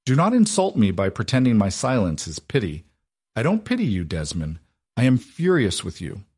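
A man voicing a guarded, cynical young noblewoman who talks briskly and erupts in fury. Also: lowish voice, animated, darkish tone, breathy edge. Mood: furious. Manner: brisk and clipped, breaking into furious outbursts.

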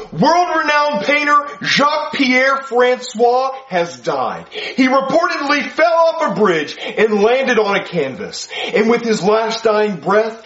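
Man as mock breaking-news anchor, pompous, animated, high-pitched, roomy muffled sound. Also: full character voice. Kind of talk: mock newscast. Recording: echoey, muffled lo-fi.

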